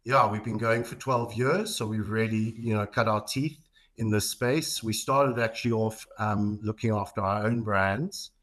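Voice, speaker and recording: Middle-aged South African male podcast guest, lower-pitched voice, conversational interview delivery. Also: fairly even intonation, a little slow, fairly bright tone. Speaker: podcast guest, marketing executive.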